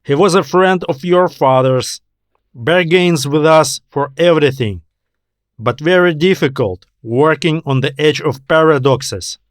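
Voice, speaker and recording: A man's voice: a little high, a little slow, no room sound, studio quality, close to an everyday voice. A man voicing a terse alien reptiloid trader who bargains in clipped, formal fragments in a science fiction story. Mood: measured, pleading.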